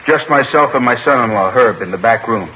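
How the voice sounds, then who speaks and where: A man playing a bright-voiced older shopkeeper. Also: mid-range voice, fairly quick, lightly acted. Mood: recounting. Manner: earnest, step-by-step recounting. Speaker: robbery victim and witness. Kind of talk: 1950s radio crime drama acting. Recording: dull old radio broadcast with faint hiss.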